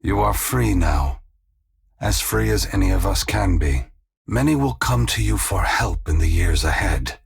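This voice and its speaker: Ancient game deity, low-pitched, very husky, grave, compassionate, wise, measured and formal. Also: male voice, lively intonation, heavily acted. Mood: kind, hopeful. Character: solemn, gentle, ancient male god, wise and compassionate. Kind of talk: scripted video game character dialogue.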